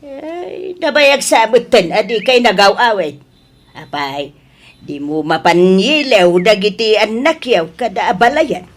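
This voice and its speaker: An older Ilocano mother-in-law in a radio drama. She speaks slowly and expressively, worrying, sighing and complaining as she nags the family. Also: medium pitch, animated. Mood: concerned, coaxing. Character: nagging, worried older mother-in-law and aunt.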